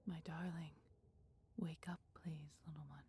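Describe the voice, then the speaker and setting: A woman voicing a caring, protective female dragon in an intimate, breathy ASMR roleplay, with gently formal, old-fashioned speech. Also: low-pitched, level tone, a little slow, heavily acted. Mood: worried, tender. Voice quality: breathy, whispery.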